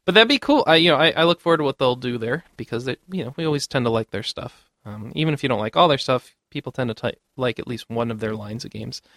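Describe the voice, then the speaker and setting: A man's voice: medium pitch, animated, fast, hardly any pauses, a bit bright, dry recording, clean studio sound. A man hosting a casual gaming news podcast, chatting informally and jokingly. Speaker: podcast host.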